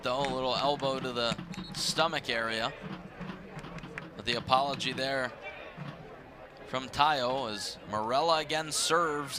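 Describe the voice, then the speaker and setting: A man calling live sports play-by-play in a live commentary style. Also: medium pitch. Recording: noisy live stadium broadcast. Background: stadium ambience.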